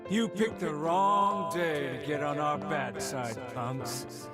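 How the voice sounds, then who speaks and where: Villain: mocking revenant chief, high voice, slow taunts, heavy score underneath. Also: male voice. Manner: mocking, contemptuous. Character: sneering cruel male revenant raider leader. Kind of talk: video game voice acting. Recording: game cutscene with loud music.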